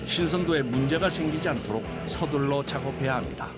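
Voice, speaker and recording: A man's voice: mid-range voice, lively intonation, a little fast. A man reading scripted documentary narration in Korean, with music playing behind him. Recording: loud music bed behind voice.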